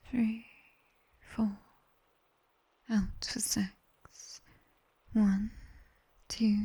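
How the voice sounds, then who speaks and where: A British woman playing a sharp-tongued, teasing demoness tutor in a soft, whispered ASMR roleplay. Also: medium pitch, slightly rough, poor audio. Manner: slow, hushed, teasing and bossy.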